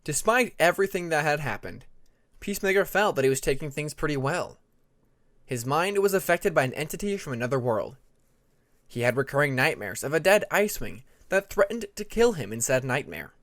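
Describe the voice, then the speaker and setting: Male fiction narrator, slightly high voice, very lively intonation, deliberate dramatic delivery. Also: no echo, clean studio sound. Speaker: audiobook narrator.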